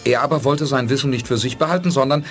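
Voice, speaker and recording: An actor playing a calm, decisive male spaceship commander who reasons things through in a measured way, in a German radio play. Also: medium pitch, faint music behind, natural voice. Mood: steady. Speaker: lead character.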